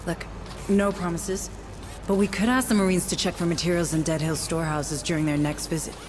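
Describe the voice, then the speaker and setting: A woman's voice: on the low side, fairly even intonation, a little fast, background noise, natural voice. A woman acting a determined, outspoken colony administrator in a video game, with an earnest, insistent manner. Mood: measured, probing. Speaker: deputy administrator.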